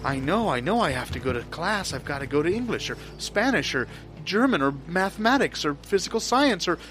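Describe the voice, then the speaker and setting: An actor playing an anxious man recounting a surreal dream in a dramatic, halting, repetitive way, in improvised audio theatre. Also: high-pitched, big pitch swings, a bit bright, slight background noise. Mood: panicked. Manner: dramatic, halting, repeats himself.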